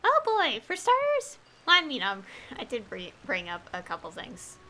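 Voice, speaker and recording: A woman's voice: sits high, wildly swooping pitch, normal speed, pushed and bright. A woman casually commentating live gameplay as a streamer, rambling and trailing off in a giggly way. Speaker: game streamer. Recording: faint game music behind. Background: game music.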